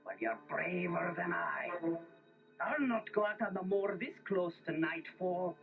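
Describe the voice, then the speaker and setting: Lively, ominous Scottish lawyer. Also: male voice, high-pitched, a little slow, full character voice. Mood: ominous warning. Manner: formal, warning, theatrical. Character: cautious, ominous Scottish village lawyer, male. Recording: muffled old story tape, clear music behind.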